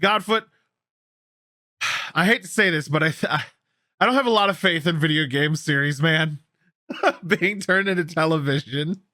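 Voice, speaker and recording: A man with a fairly high, very bright and forceful voice hosts casual gaming news. He speaks emphatically, often doubling up on phrases, with an easy sweary bluntness. The sound is dry and close. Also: normal speed, long pauses, no room sound. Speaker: gaming news show host. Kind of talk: casual news commentary with co-host.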